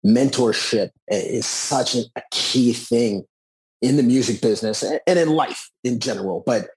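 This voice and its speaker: Male, 40s, slightly raspy mid-pitched voice, earnest interviewee speaking conversationally. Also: moderate pace, darkish tone, poor audio. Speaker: musician and author being interviewed.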